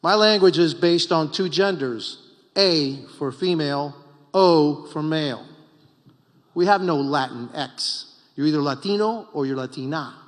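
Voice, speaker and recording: A man's voice: fairly high pitch, measured pace, big-room sound. A middle-aged Cuban-born man, a congressman and former mayor, giving emphatic, repetitive panel remarks at a political retreat. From Cuba.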